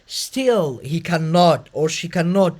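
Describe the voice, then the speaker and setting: High-voiced host, restarting. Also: male voice, expressive, a little mellow. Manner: fast, effortful talk with frequent fillers and restarts. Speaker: podcast host. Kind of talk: conversational interview.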